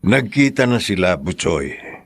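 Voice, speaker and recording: Ageing father, radio drama: resigned and earnest, expressive melody, close dry recording. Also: low voice, a little slow, husky, no room sound, heavily acted. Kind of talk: Tagalog radio drama acting.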